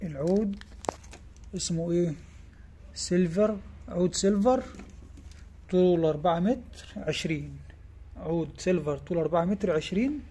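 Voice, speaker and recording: A man's voice: sits high, moderate pace, pauses often, warm tone, husky. A man with an Egyptian Arabic accent, a fishing tackle shop owner, casually presenting giveaway prizes in his shop and coaxing children along, often checking in with "tamam?".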